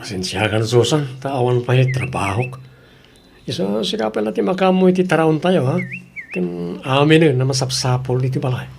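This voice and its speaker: A man playing a sly, ingratiating boyfriend in an audio drama, flattering and wheedling as he talks. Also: a little high, normal speed, vocal fry, music in the background.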